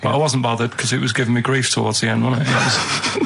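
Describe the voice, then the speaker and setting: A man's voice: medium pitch, flat delivery, fast talker, vocal fry, fairly bright tone, a little hiss or hum. A man with a northern English accent chatting casually and telling rambling anecdotes in a plain-spoken, matter-of-fact way. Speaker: radio show regular telling anecdotes.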